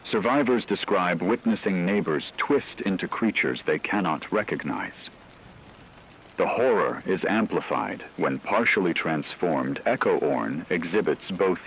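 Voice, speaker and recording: A man reading a scripted emergency radio broadcast in a solemn, ominous, measured way. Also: deep voice, lively intonation, a little hiss or hum, poor audio. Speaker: radio announcer.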